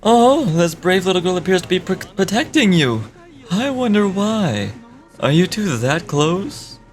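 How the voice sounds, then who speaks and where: A woman voicing a smug, taunting male trickster from a video game, with theatrical, drawn-out mock politeness. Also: on the low side, big pitch swings, darkish tone, faint music behind, pitched below the performer's own voice. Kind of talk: game dialogue read aloud in character.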